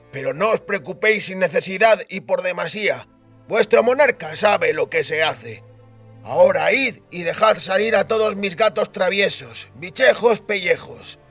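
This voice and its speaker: A man's voice: high voice, a bit bright, full character voice. An actor playing a frail old duke who fondly recalls his royal grandfather in a dramatised audiobook. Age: older. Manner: reminiscing, storytelling.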